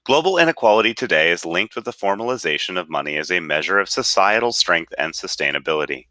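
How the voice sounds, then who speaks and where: A man's voice: low-pitched, lively intonation, fast talker, pushed and bright, no room sound, clean studio sound. A man reading an article aloud with careful enunciation. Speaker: reader.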